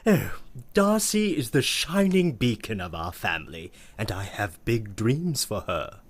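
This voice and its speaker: An actor playing a prissy, verbose uncle with a pompous, ornate manner in a comic radio play monologue. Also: male voice, on the low side, sing-song, unhurried, heavily acted. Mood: gossipy, disdainful. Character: prissy, verbose, scandalized uncle telling family tales.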